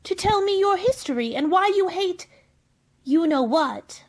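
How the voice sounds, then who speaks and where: A woman's voice: very high-pitched, animated, a little slow, heavily acted. A woman playing a polite, bewildered little girl in a storybook radio play, earnest and apologetic. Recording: music behind the voice.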